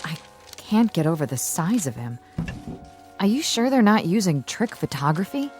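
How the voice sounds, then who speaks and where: Low, mellow, skeptical woman. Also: very animated, normal speed, faint music behind. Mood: skeptical, curious. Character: skeptical, curious female observer, sensible. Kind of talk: naturalistic radio drama acting.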